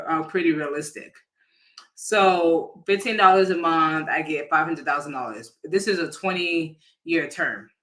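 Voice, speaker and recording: A man with a fairly high, very bright voice explains things in an informal live-talk style. His delivery is casual and halting, with sentences often restarted and reworded as he goes. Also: low-quality recording. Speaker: insurance agent giving a financial education talk.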